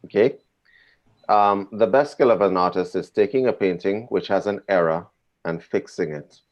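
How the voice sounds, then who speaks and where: A man speaking as a guest on a live show, gentle and encouraging with callers. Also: deep voice, moderate pace. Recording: lo-fi video call audio.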